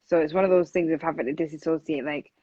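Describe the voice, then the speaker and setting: A woman in her twenties talking in a casual podcast conversation, with a loud, earnest voice and long runs of speech. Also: deep voice, steady tone, quick pace, breathy edge, no room sound, highs cut off. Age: 20s.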